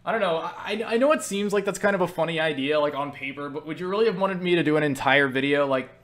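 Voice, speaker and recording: A man's voice: on the high side, fast, fairly bright tone. A tired young man casually answering viewer questions on a webcam, joking and self-deprecating as he rambles. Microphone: webcam setup.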